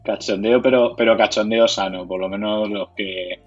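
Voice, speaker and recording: Deep-voiced Castilian man, slightly muffled recording. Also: steady tone, normal speed, faint music behind. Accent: Castilian Spanish. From Madrid, Spain. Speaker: interviewee, board game community organizer. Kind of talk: conversational interview answers.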